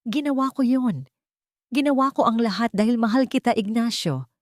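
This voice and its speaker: A woman's voice: on the high side, normal speed, no echo, clean studio sound, pitched above the performer's own voice, lightly acted. A woman voicing a desperate, obsessive female villain in an audio drama, pleading and impassioned. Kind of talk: audio drama character reading.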